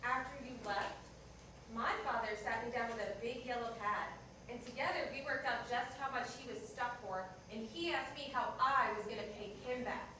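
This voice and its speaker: A bitter, jilted diner manager, played by a woman in a stage play, speaks in a high voice with curt sarcasm and accusation. She is heard from far off in a very echoey room. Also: normal speed, noisy background, lightly acted. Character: bitter jilted woman coffee-shop manager in 1976 New York. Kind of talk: staged reader's theater dialogue. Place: indoor performance room, distant microphone.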